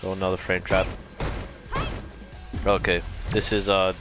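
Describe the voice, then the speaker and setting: A man with a fairly low voice talks through a video game tutorial in a casual, unscripted way. He rambles and corrects himself as he explains, with music clearly playing behind him. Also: completely flat delivery, unhurried.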